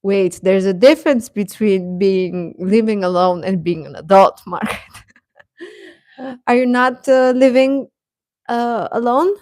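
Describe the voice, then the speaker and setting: A woman's voice: mid-range voice, measured pace, a little mellow, no room sound, clean studio sound. A woman hosting a livestream, chatting casually and advising viewers in a conversational way, often hesitating and correcting herself. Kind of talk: casual chat, giving advice to viewers.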